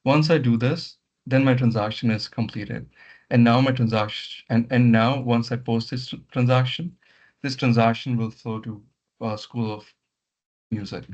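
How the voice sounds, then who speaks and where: A man giving step-by-step software training in an instructional way, often repeating his words, over an online meeting. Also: medium pitch, steady tone, normal speed. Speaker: software trainer leading a session. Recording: muffled lo-fi online meeting audio.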